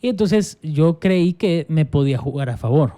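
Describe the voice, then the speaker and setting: A Salvadoran man in his young adult years, speaking as a guest pastor about his own life. His voice sits slightly high yet sounds warm and dark, with a clean, resonant finish. He speaks in a reflective, unhurried way, often circling back to restart a thought. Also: animated. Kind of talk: casual interview, telling his life story.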